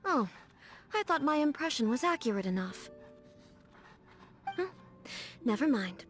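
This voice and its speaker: An actress voicing a serene, dignified female warrior ruler in a video game, speaking formally and with measured composure. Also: high-pitched, big pitch swings, soft background music. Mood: candid, resolute. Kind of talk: scripted video game character dialogue.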